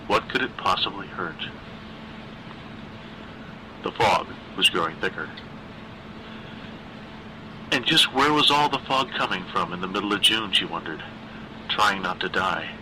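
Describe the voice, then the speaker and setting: A man reading a dark horror story as a scripted narration, with a dramatic, deliberate literary delivery. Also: deep voice, noisy background.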